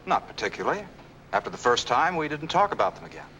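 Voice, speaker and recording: An actor playing a devoted, insistent young fiancé with earnest, emphatic 1940s film noir delivery. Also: male voice, a little high, very animated, fast talker, sharp tone, a little hiss or hum, rough recording. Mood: earnest, defensive. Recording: old film soundtrack, faint music.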